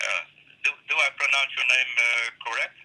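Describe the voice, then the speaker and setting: A man with a Dutch accent hosting a live radio interview, speaking haltingly in English with many pauses. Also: medium pitch, noisy background. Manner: hesitant, many uh fillers and restarts in English. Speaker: radio host. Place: radio studio.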